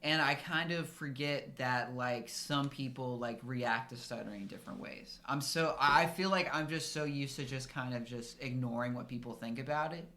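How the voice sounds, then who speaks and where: A man's voice: mid-range voice, normal speed, few pauses, pushed and bright, slight background noise. A young man who stutters, a speech therapist, in casual podcast talk full of "like" and repeated words.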